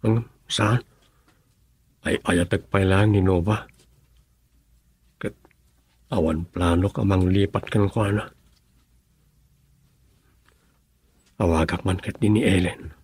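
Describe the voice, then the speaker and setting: An actor playing an earnest, lovelorn young provincial farmer in an Ilocano radio drama, with an Ilocano accent. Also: male voice, low-pitched, steady tone, slow, pauses often, darkish tone, slightly rough, no echo, heavily acted. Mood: troubled.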